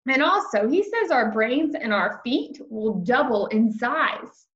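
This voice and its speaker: A woman voicing an excitable, brash kindergarten girl from a storybook, chatty and emphatic with childish grammar. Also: fairly high pitch, takes it slowly, reverberant, highs cut off. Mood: unsure, chatty.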